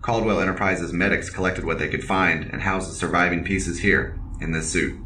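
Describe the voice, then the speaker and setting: An actor playing a reserved, polite cyborg officer in an audio drama, speaking in a formal, measured deadpan. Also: male voice, low voice, a little hiss or hum. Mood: reserved, matter-of-fact.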